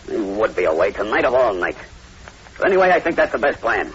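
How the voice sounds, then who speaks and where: An actor playing a middle-aged plantation owner, tense, clipped and authoritative, in old radio horror drama style. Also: male voice, on the low side, sing-song, brisk. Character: tense, commanding American plantation owner, grimly believing in zombies. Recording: dull lo-fi old radio recording, hiss.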